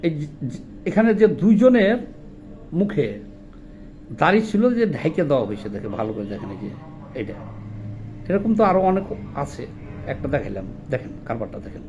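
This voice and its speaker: High-pitched, animated Bangladeshi man arguing. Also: brisk, pauses often, muffled recording, slight background noise. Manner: indignant, argumentative, colloquial. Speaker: social commentator. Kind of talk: informal video commentary, rhetorical questioning.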